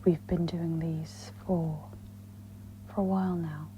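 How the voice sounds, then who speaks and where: A woman speaks in a whispery, breathy voice, gently guiding a meditation in a soft-spoken ASMR style. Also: sits low, little pitch movement. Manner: slow, gentle, instructional. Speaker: host of guided meditation ASMR. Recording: dull lo-fi with audible background noise.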